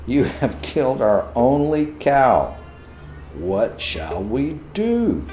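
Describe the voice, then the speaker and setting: A male storyteller plays a weary-of-nonsense country mother in a folktale. She scolds and exclaims at her foolish son in drawn-out phrases. The voice rings in a roomy space. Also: mid-range voice, very animated, darkish tone, soft background music. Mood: exasperated.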